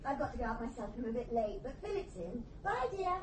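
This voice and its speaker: High, lilting chatty mum. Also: female voice, sing-song, quick pace, slight background noise. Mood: welcoming, hurried. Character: chatty, kindly middle-aged mother. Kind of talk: radio drama acting.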